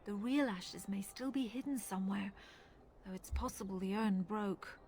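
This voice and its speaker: Casting: actress for bookish fantasy-game cleric, mid-range, articulate and reflective, a sceptic, with faint background noise. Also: female voice, mid-range voice, darkish tone, lightly acted. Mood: earnest, sceptical. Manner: measured, earnest, articulate. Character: thoughtful, sceptical female religious scholar.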